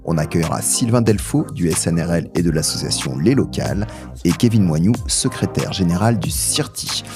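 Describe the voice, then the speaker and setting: A man's voice: low voice, expressive, moderate pace. A man reading a scripted podcast outro and preview as the host. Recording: voice over clear music bed. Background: music.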